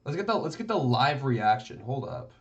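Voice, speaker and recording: A man streams casual commentary over match footage, his pitch jumping around lively as he rambles and checks himself aloud. The audio is dull and lo-fi, like a modest home stream setup. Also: moderate pace. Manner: rambling, thinking aloud, many "like" and "right?". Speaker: streamer reviewing tournament matches.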